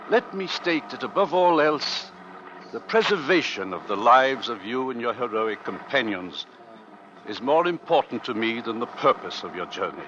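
A muffled vintage radio recording with faint noise carries a hearty male sea captain. His voice is forceful and bright, mid-pitched, with assured authority in classic radio drama manner. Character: hearty, assured 1850s sea captain of an Arctic rescue ship. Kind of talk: 1940s-50s radio drama acting.

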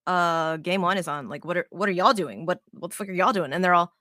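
Bright-voiced excitable woman podcast host. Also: lowish voice, quick pace, no room sound, studio quality. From Las Vegas, United States. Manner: excitable, swears freely, animated storytelling. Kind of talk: casual sports podcast chat.

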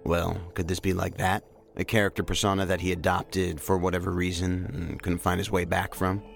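An actor playing a measured, plainspoken male investigator, a seasoned crime specialist in a science fiction audio drama. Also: sits low, level tone, vocal fry, soft background music. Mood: thoughtful.

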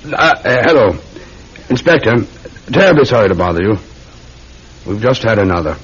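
Low-voiced, courteous police sergeant. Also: male voice, very animated, husky, full character voice. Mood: urgent, deferential. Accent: British. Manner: polite, measured, probing. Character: dutiful, courteous, methodical London police sergeant. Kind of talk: old-time radio drama acting. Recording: dull, noisy old radio recording.

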